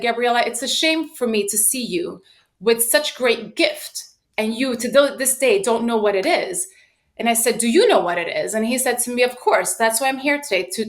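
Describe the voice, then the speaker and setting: A woman in her late thirties from the United States and Sweden, telling personal stories as an interview guest in an animated, emphatic way. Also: a little high. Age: late 30s. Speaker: podcast guest, sales professional.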